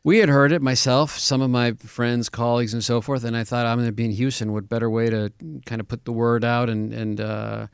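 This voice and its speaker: A man from Argentina speaking as a guest on a radio show. His delivery is flat and steady, his voice gravelly, and he explains things carefully, dropping ums and uhs along the way. The sound is dry and close, with no room echo. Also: medium pitch, fairly quick, few pauses. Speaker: guest, real estate broker. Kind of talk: radio interview answers.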